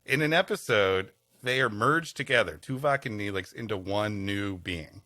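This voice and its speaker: A man co-hosting a comedy podcast, riffing casually in an animated, mock-indignant way. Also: fairly low pitch, moderate pace, no room sound. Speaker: podcast co-host. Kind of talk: casual comic banter and riffing.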